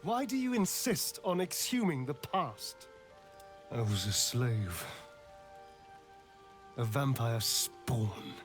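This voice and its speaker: A male actor playing a sardonic, centuries-old vampire spawn in a video game, with an arch, dramatic delivery that swings from mocking to hushed. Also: medium pitch, animated, slow pace, pauses often, soft background music. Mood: bitter, defiant. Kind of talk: video game character dialogue.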